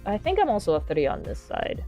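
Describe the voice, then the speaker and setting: A woman's voice: medium pitch, huge pitch swings, a little fast, vocal fry, dark tone, faint music behind. A woman livestreamer chatting casually with her viewers in a playful, rambling way, often repeating herself. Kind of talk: casual chat with viewers while doing crafts on stream.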